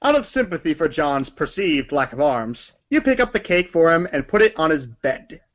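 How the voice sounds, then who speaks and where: Lively, high-pitched man narrating a story. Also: normal speed. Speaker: narrator in a read-along performance. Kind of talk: dramatic scripted narration. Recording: dull lo-fi recording.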